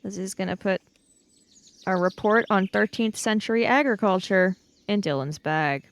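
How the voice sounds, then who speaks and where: A woman's voice: medium pitch, normal speed, dry recording. A woman chatting casually and improvising dialogue as a player in a tabletop roleplaying game.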